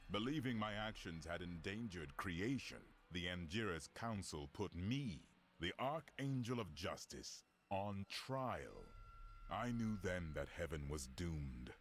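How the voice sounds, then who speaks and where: A man's voice: sits low, animated, takes it slowly, slight background noise. A man voicing an ancient, stern archangel of justice in a fantasy video game, grave and formal in delivery. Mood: grave, resolute. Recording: dramatic music behind.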